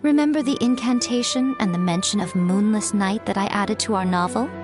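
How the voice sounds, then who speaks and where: A woman's voice: mid-range voice, brisk, lightly acted. A woman voicing a sly, teasing fox-spirit shrine priestess who speaks coyly and gives orders with ease, in a video game. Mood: explaining. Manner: playful and coy, smoothly commanding. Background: game music.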